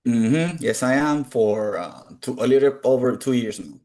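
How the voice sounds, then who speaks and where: A man's voice: medium pitch, lively intonation, moderate pace, warm tone. Earnest Cuban-born man. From Cuba. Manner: earnest, open and reflective. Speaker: guest. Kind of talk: conversational interview answers.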